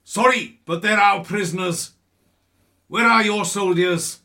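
A man playing a suspicious, unyielding soldier on guard in a radio play, challenging and forceful. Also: high voice, measured pace, exaggerated voice.

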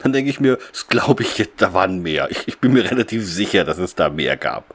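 A man's voice: sits low, lively intonation, brisk. Man chatting casually as podcast co-host. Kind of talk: casual chat recapping a radio play.

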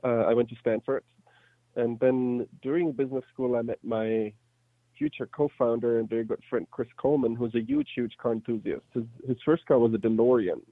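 A man's voice: medium pitch, fairly even intonation, brisk, no echo, narrow, tinny audio. A man in his thirties with a slight German accent, telling his story casually as an interview guest. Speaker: interview guest, startup entrepreneur.

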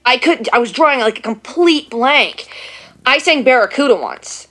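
Casual guest, woman with a low New voice, talkative and candid. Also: expressive, normal speed, fairly bright tone. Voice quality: deeper voice for a woman. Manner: chatty, self-deprecating, talks at length. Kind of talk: casual chat.

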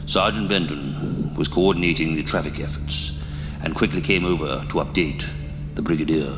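A man's voice: extremely low pitch, moderate pace. A man reading scripted narration for an audio drama, as the storyteller between scenes. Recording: echoey long reverb, music behind.

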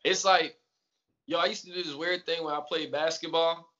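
Laid-back Southern man with a bright voice. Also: fairly high pitch, fairly even intonation, normal speed, muffled recording. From Atlanta. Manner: laid-back, repeats yes often. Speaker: rapper guest. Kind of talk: casual interview chat.

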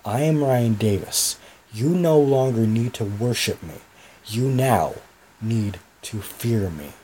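A man doing a slow, menacing wrestling villain. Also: expressive, mellow, a little hiss or hum. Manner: slow, low, threatening delivery.

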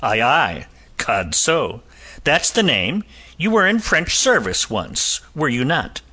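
A man voicing a blustering English army captain with a heavy, hectoring tone, shouting and sneering. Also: medium pitch, sing-song, takes it slowly, husky, pitched above the performer's own voice, exaggerated voice. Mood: aggressive.

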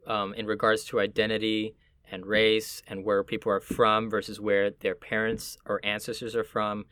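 A low-voiced man talks in a casual, reflective conversation, recorded close and dry. He halts often, searching for the right words as he thinks a point through. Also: completely flat delivery, moderate pace, a bit bright, no echo. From Puerto Rican family, Miami.

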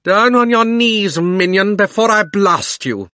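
A man's voice: mid-range voice, wildly swooping pitch, slow pace, pushed and bright, no room sound, clean studio sound, exaggerated voice. A man voicing a towering, imperious witch queen in a storybook, commanding, haughty and menacing. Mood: imperious fury.

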